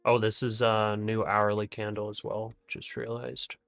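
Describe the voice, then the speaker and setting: A man hosting a live day-trading stream, thinking aloud over charts, often trailing off into quiet remarks and laughing easily. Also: fairly low pitch, monotone, unhurried, gravelly, no echo, soft background music. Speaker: day-trading livestream host. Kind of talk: live trading commentary with guests.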